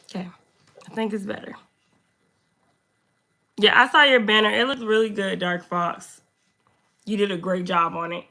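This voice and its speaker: A young woman with a hoarse voice chatting casually with her viewers while reading their comments. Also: steady tone, normal speed, pauses often, sharp tone. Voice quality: low, slightly deep voice. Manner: chatty, talks to viewers, drifts off topic. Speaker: makeup streamer.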